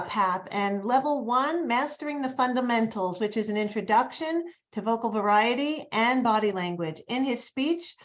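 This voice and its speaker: An upbeat woman hosts an online meeting and introduces each speaker with enthusiasm. Her voice sits a bit high, and the call audio sounds dull and lo-fi. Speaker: meeting host. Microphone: video call.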